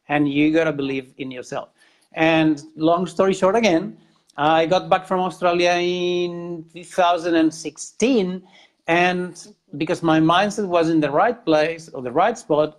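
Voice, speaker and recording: Spanish-accented man telling his story earnestly. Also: on the high side. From Spain, Catalonia. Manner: earnest, halting restarts, repeats words. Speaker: interview guest. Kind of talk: casual interview storytelling.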